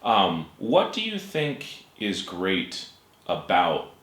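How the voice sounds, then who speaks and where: A man's voice: deep voice, wildly swooping pitch, drawn-out words, a bit bright. A man, hosting a casual interview and speaking hesitantly, with ums and restarts. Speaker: host. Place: room with bookshelves, at a table. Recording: echoey room with faint hiss.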